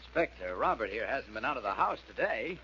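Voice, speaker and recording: An actor playing a genial, earnest household doctor who is easily alarmed, in 1940s radio style. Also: male voice, mid-range voice, sing-song, brisk, sharp tone. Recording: dull old radio recording, faint noise.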